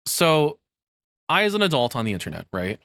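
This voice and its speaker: A man's voice: on the high side, sing-song, a little fast, fairly bright tone, dry recording. A young adult man doing casual reaction commentary as a content creator, rambling, sarcastic and freely swearing.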